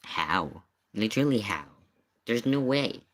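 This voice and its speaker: A man's voice: lowish voice, very animated, unhurried, a bit bright. A man giving casual live commentary in an emphatic, questioning way, often repeating his points. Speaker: streamer reacting to paranormal investigation video.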